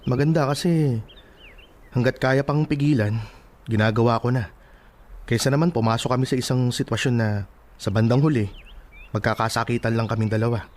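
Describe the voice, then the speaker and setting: A man's voice: mid-range voice, steady tone, moderate pace, pauses often, darkish tone, lightly acted. A man acting a stubborn young man who denies being in love, in casual, defensive radio drama dialogue. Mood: resolute.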